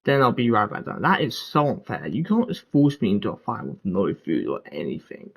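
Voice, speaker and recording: A man's voice: on the low side, very animated, a little fast, creaky, husky. A man giving casual, playful gameplay commentary, often complaining as he plays. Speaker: gamer streaming gameplay. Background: game music.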